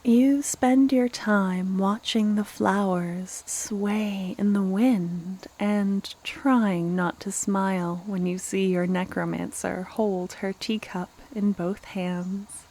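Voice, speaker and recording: A woman reading scripted fantasy narration for ASMR in a soft voice. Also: mid-range voice, steady tone, takes it slowly, few pauses, slight background noise. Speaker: ASMR roleplay creator and narrator.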